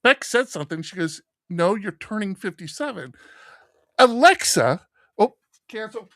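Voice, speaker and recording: A man's voice: high voice, measured pace, no room sound, studio quality. A man in his fifties co-hosting a podcast, telling stories in casual chat and acting out the voices of people he quotes. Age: 50s. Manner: animated storyteller, acts out quoted voices. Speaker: podcast co-host.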